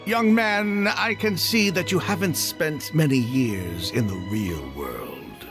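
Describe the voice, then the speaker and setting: A man's voice: a little high, expressive, takes it slowly, creaky, over music, heavily acted. An actor playing a fussy, worldly middle-aged man in a video game, placating and long-winded, with a wordy, cautious, lecturing manner. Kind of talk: acted video game dialogue.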